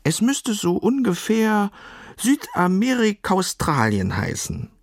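A man voicing a loud, brash female guinea pig who wants to be a pirate in a children's story, calling out in exclamations. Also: high voice, huge pitch swings, slow, gravelly, no room sound, studio quality, voice pushed up, exaggerated voice. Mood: eager. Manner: bellowing, exclamatory.

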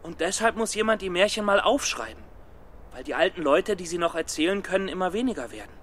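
An actor playing a timid, earnest, hesitant young scholar in a children's radio play. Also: male voice, sits high, lively intonation, fast talker, fairly bright tone, slight background noise.

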